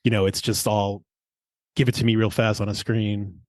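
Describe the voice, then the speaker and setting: A man's voice: fairly low pitch, little pitch movement, moderate pace, no echo, clean studio sound. A man hosting a podcast, chatting informally and agreeably with a guest. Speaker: podcast host interviewing a guest. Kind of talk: casual chat.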